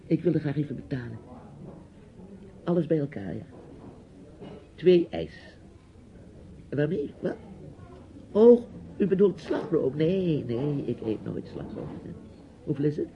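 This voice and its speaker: A dull, hissy old radio play recording of a bossy older man. His pitch swings widely as he rambles, hesitates and gives orders in a nosy, theatrical way. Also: big pitch swings, slow pace, creaky, long pauses, exaggerated voice. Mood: chatty, rambling. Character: chatty, bossy, nosy older relative with a niece. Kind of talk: radio drama acting.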